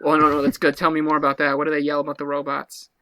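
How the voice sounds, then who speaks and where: A man's voice: fairly high pitch, completely flat delivery, very fast, pushed and bright, dry recording. A man plays an impatient detective in an improvised comedy interrogation. He stammers in disbelief and presses on with his questions, exasperated. The recording is dry, with little room sound. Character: exasperated male police detective questioning a witness.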